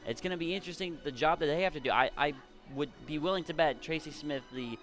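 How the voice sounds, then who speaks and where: Higher-pitched American male color commentator. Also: fast, a bit bright. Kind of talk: live analysis, conversational sports commentary. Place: at a baseball stadium.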